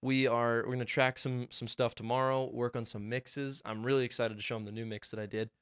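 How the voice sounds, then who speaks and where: Young male podcast co-host, chatty and excitable, swears freely, clean dry mic. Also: mid-range voice, a little fast, smooth, no room sound. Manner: excited, swearing, rambling storytelling. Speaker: podcast co-host and music producer. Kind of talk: casual chat. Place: small home studio.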